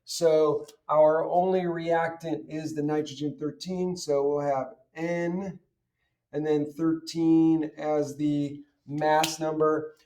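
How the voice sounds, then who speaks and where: A man's voice: fairly high pitch, flat delivery, takes it slowly, darkish tone, recorded from a distance. A man teaching in an informal, step-by-step way, posing questions and answering them himself as he explains. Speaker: chemistry teacher. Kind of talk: informal step-by-step lesson, worked examples.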